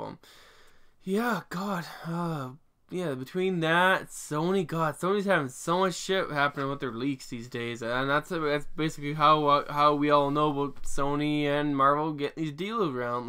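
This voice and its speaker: A man's voice: a little high, bright, forceful tone, smooth. A man in his twenties rambling through an opinion vlog, with lots of restarts and fillers and some casual swearing. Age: 20s. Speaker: vlogger.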